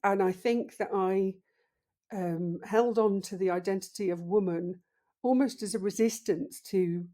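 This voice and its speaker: A woman in her fifties with a deep voice, a podcast guest talking slowly and thoughtfully in a casual interview. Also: dark tone. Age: 50s. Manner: slow, thoughtful, reflective.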